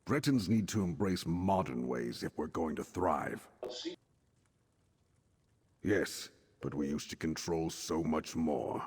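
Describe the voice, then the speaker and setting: An actor playing a proud Breton nobleman in a video game, speaking in a measured, formal, reflective way. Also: rumbling low, animated, a little mellow, breathy edge, big-room sound, exaggerated voice.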